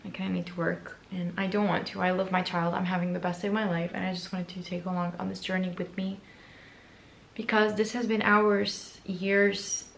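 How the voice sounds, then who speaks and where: A woman in her twenties chatting casually to camera in a book vlog. Her voice is raspy and hoarse from allergies, and she is chatty, excitable and self-mocking. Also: on the low side, moderate pace, a little hiss or hum. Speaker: book vlogger.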